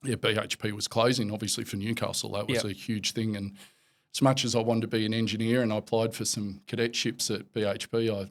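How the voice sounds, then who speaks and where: A man in his forties with an Australian accent, speaking a little low in pitch. He talks loosely and casually, circling back and restarting his thoughts as he chats on a podcast. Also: a little fast. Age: 40s. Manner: relaxed, rambling, many fillers and restarts. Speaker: podcast guest, company executive. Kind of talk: casual interview chat.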